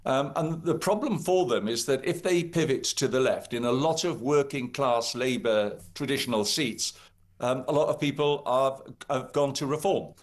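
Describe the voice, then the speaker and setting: A man's voice: medium pitch, normal speed. A man with a Welsh accent, a guest commentator on a live talk radio show, speaking emphatically with frequent fillers.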